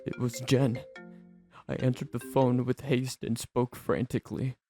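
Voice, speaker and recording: A man narrating a first-person horror story in a tense, dramatic manner with theatrical pauses. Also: mid-range voice, little pitch movement, warm tone, faint music behind.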